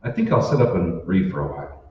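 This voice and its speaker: A bewildered everyman played by a man in a vintage radio play, hesitant and irritable. His lowish voice swings up and down, heard from a distance in a very roomy space. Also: very animated, fast talker. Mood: uneasy, brooding. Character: puzzled, irritable married office man in sci-fi radio play. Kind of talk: old-time radio drama acting. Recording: roomy, muffled, distant stage recording with noise.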